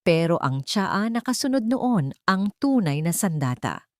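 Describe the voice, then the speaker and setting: A woman's voice: medium pitch, big pitch swings, measured pace, a little mellow, no echo. A woman narrating a scripted romantic drama with emotive, melodramatic storytelling. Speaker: narrator of audio love stories.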